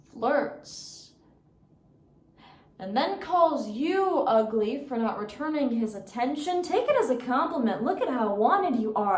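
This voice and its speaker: A young woman performing a dramatic poetry recitation, with theatrical, deliberate phrasing and emphatic pauses. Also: high-pitched. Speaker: competitive speech performer. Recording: roomy, echoing sound.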